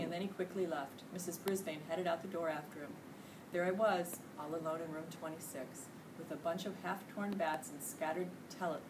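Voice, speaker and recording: A middle-aged American woman reading a children's storybook aloud with expressive, emphatic delivery. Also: fairly low pitch. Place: indoor school classroom. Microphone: distant camera microphone. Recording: distant camera mic, noisy room.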